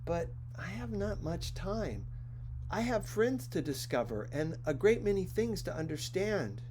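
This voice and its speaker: A man's voice: sits high, measured pace, pitched above the performer's own voice, rough recording. A man voicing a curious, earnest young boy prince who asks eager questions. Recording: steady background hum.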